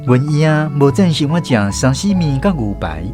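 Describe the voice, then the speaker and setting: A man with a Taiwanese accent tells a scripted story for radio. His pitch moves in a lively way as he narrates, and soft guitar music sits faintly behind him. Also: moderate pace.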